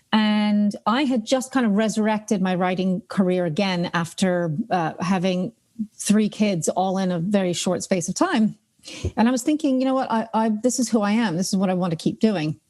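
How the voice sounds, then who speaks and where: A woman's voice: mid-range voice, normal speed, dark tone. Chatty woman author storytelling. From United States. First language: Ukrainian. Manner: chatty, lots of ums and restarts. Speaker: author, podcast guest. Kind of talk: casual interview storytelling. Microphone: video call.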